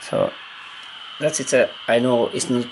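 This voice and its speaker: A man who is not a native English speaker gives a casual, unscripted hands-on demonstration, hesitantly thinking aloud in halting phrases. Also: medium pitch, poor audio. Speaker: amateur radio hobbyist demonstrating gear. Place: indoors at a wooden table. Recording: clear background noise on handheld camera audio.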